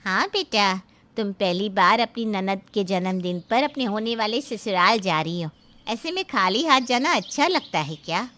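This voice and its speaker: Woman as a bright-voiced, coaxing anxious mother. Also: mid-range voice, expressive, normal speed. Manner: coaxing, cautioning. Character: anxious, appeasing middle-aged mother of a bride. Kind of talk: dramatised Hindi story acting.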